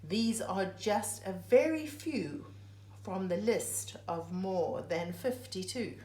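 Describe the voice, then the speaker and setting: Slow, expressive woman reading aloud, echoey room. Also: medium pitch, a little hiss or hum. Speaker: teacher reading a picture book aloud. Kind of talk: read-aloud of a rhyming children's book.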